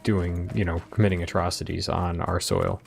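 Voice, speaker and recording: A man with an American accent gives casual, reflective commentary on history in a halting way. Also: low-pitched, steady tone, gravelly, darkish tone, slight background noise. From United States.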